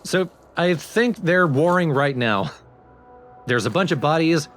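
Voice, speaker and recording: A man's voice: a little high, expressive, unhurried, fairly bright tone. A man hosting a let's play, explaining the game in casual commentary. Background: video game music.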